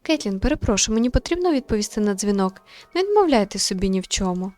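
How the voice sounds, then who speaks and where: A woman voicing a composed, modest young female medium in a visual novel, calm, polite and measured. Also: medium pitch, dry recording, faint music behind, lightly acted.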